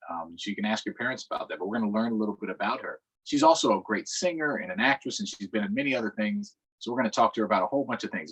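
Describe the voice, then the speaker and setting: Husky American man hosting children's storytime. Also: lowish voice, fairly bright tone, low-quality recording. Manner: upbeat, conversational. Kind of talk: casual livestream talk to children.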